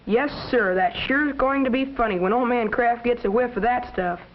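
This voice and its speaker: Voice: mid-range voice, moderate pace, natural voice. A young performer playing an eager, slangy schoolboy sidekick in a 1950s educational film. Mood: eager, gleeful. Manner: gleeful, boyish, slangy. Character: eager mischievous schoolboy sidekick, admiring follower. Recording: dull, noisy vintage film soundtrack.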